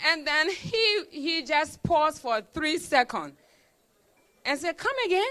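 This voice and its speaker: High, bright-voiced woman speaker. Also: lively intonation, slow pace. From Liberia. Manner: emphatic storyteller, repeats key phrases. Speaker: student speaker at a celebration. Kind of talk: motivational speech with a personal story.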